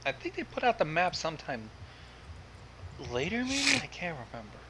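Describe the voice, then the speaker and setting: Male guest commentator, sarcastic and sweary, very lively intonation, slightly noisy recording. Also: high-pitched, normal speed. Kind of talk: casual commentary.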